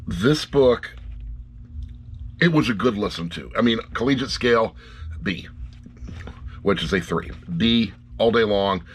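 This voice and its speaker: A man's voice: on the low side, unhurried, pauses often, slight background noise, rough recording. A middle-aged man giving a casual, rambling review to camera in a blunt, wry way, often repeating phrases for emphasis. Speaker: audiobook reviewer.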